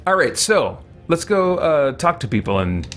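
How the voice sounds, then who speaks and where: A man's voice: a little high, big pitch swings, moderate pace, fairly bright tone, slight background noise. A man giving casual, rambling, self-deprecating gameplay commentary as a streamer. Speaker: streamer, let's play host. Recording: music playing behind the voice. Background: game music.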